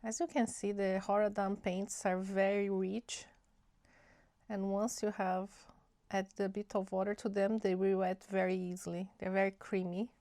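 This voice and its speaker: Woman, nasal from a cold, gravelly fry, dry close sound, chatty tutorial host. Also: mid-range voice, level tone, mellow, no room sound. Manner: unhurried, chatty, thinking aloud. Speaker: art channel host. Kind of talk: casual watercolor swatching tutorial.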